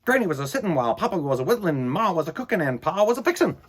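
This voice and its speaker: Male children's storytime host, fairly high and husky, emphatic delivery. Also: big pitch swings, rapid-fire. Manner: theatrical, emphatic, swings between loud bursts and hushed asides. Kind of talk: animated read-aloud and chat to children.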